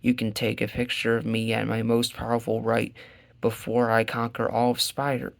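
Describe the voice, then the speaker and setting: A man's voice: mid-range voice, monotone, moderate pace, gravelly, close to an everyday voice. A man voicing a vain, grumpy cyborg villain who growls and boasts, in a children's story reading. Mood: gloating.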